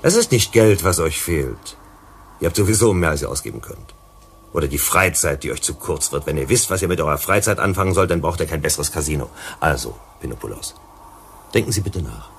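An actor playing an earnest, persuasive psychologist in a German sci-fi radio drama, explaining and pleading. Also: male voice, a bass voice, animated, brisk, creaky, slight background noise, exaggerated voice. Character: earnest, persuasive male company psychologist and negotiator.

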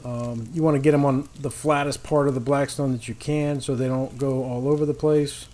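A man's voice: medium pitch, steady tone, normal speed, warm tone. A man hosting a casual cooking demonstration outdoors, giving easygoing, informal instructions with folksy phrasing. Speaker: cooking show host. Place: outdoor paved patio beside a griddle. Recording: clear sizzling griddle noise behind voice. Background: frying and sizzling food.

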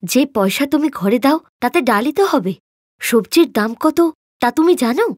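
A woman's voice: high voice, animated, moderate pace, no room sound, studio quality. A woman voicing an anxious, long-suffering poor village wife in a cartoon story, plaintive. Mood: reproachful.

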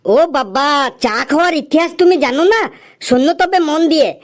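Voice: sits high, animated, fairly quick. An actor playing a wheedling, cheeky freeloading customer in a comedy cartoon, teasing in a mock-earnest way.

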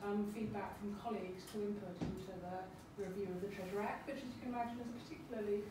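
A British woman giving an informal, slightly halting and self-effacing short presentation as a panellist. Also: medium pitch, fairly even intonation. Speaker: heritage adviser on a panel. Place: lecture room, indoors. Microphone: far camera in the audience. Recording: echoey lecture room, distant mic, noisy.

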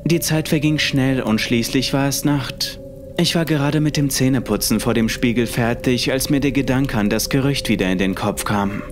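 A man's voice: medium pitch, normal speed. A man narrating a scripted first-person horror story. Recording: music under the voice. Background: music.

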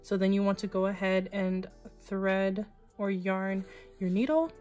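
A woman's voice: medium pitch, a little slow, soft background music. A woman giving patient, step-by-step crochet instructions as a tutorial host, speaking over close-up footage of her hands. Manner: calm, patient, reassuring instructions.

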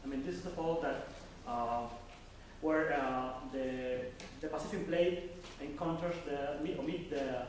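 A young man presents research at a conference, pausing and restarting as he searches for words. His voice sits a little high for a man. He is recorded from a distance in a very echoey hall, with a faint hiss under it. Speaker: conference speaker. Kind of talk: academic presentation.

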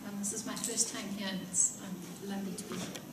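A middle-aged woman giving an academic talk, soft-spoken and hesitant, with many pauses and fillers. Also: mid-range voice, fairly even intonation. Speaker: guest lecturer. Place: lecture room. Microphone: lectern mic, filmed by far camera. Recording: echoey room from far off, hiss.

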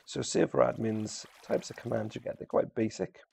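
A man's voice: mid-range voice, normal speed, creaky, very noisy. A man giving a casual, unscripted video game tutorial, informal and a little hesitant as he thinks aloud. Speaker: gamer presenting a video walkthrough.

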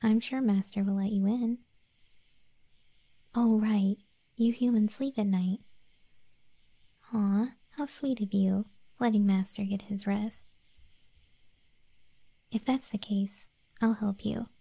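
A protective monster girl, a gargoyle night guard, played by a woman for an ASMR roleplay. Her voice is very dark and soft, with a breathy whisper to it. She speaks slowly and intimately, right up at the mic in a dry space. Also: medium pitch, steady tone, no echo, rough recording. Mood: friendly, helpful. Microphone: close microphone, dry.

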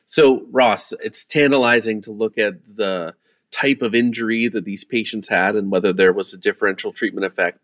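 A man's voice: lowish voice, a bit bright, no echo. A man hosting a medical podcast interview, putting questions to researchers.

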